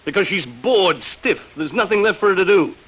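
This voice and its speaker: A man's voice: high-pitched, lively intonation, normal speed, a bit bright, husky, heavily acted. An actor playing a bitter, beaten businessman and father, plain-spoken and sardonic, in vintage radio drama style. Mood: bitter sarcasm. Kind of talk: 1950s radio drama acting. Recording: muffled, band-limited old radio recording.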